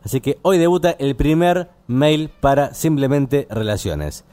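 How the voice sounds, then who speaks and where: Dark-voiced Argentine man hosting late-night radio. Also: mid-range voice, animated. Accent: Buenos Aires Argentine. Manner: halting, rambling explanations. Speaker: radio host. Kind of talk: casual live late-night radio talk.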